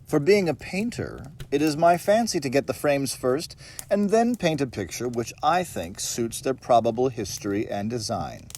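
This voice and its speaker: A man reading a ghost story as dramatic narration, with measured, theatrical phrasing and deliberate pauses. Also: mid-range voice, darkish tone, a little hiss or hum. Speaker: audiobook reader.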